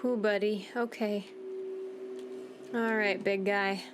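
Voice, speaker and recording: A woman's voice: mid-range voice, level tone, slow, music in the background. A woman hosting a gaming video, giving informal playthrough commentary, reading out text and reacting aloud.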